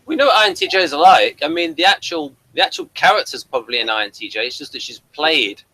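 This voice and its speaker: A man's voice: a little high, sing-song, quick pace, bright, forceful tone, low-quality recording. A man co-hosting a casual chat that reviews a TV episode. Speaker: review show co-host.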